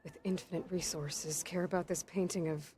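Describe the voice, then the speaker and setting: An actress playing a practical young game heroine, direct and questioning, with little patience for fine things. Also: female voice, on the low side, fairly even intonation, normal speed, mellow, a little hiss or hum, natural voice. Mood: skeptical. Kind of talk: video game dialogue.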